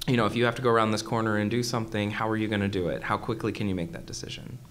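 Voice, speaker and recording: A man with a fairly low voice talks through ideas on a convention panel, measured and pausing often. Faint hiss from the conference room sits under his speech. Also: fairly even intonation, fairly bright tone. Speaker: panel speaker, psychologist. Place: hotel conference room with audience.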